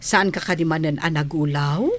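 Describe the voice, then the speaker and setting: Woman as a caring low-voiced nanny. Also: normal speed, slight background noise, close to an everyday voice. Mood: fond. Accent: Ilocano. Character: caring, devoted nanny, gentle and fond. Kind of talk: Ilocano radio drama acting.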